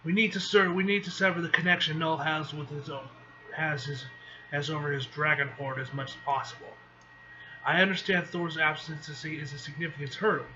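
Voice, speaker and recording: A grave male leader of mutant heroes read out by a man from a comic speaks formally and softly. The delivery is measured but halting. The recording is dull with faint background noise. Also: on the high side, steady tone. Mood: grave, composed. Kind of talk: amateur comic book read-aloud acting.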